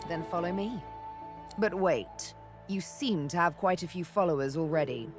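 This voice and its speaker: A woman voicing a confident, menacing rogue assassin in a video game, poised, deliberate and teasing. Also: on the low side, music in the background, natural voice.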